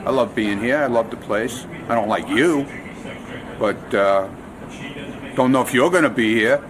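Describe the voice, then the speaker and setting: A man with a medium-pitched voice banters grumpily in a casual interview. He is blunt and tends to repeat himself, with clear chatter behind him. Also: a little slow. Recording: noisy room with background chatter.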